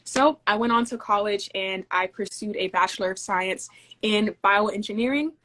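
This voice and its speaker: A young adult woman from Denmark, a guest in a casual interview, explaining her engineering work in a measured, friendly way. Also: mid-range voice, pushed and bright, low-quality recording.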